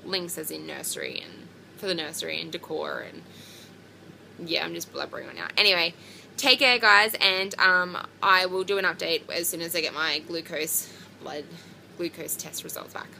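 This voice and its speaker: A woman's voice: lowish voice, animated, moderate pace, vocal fry, noisy background. A woman, chatting casually to camera about her pregnancy in a rambling way with lots of ums and likes. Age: 20s. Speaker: pregnancy vlogger.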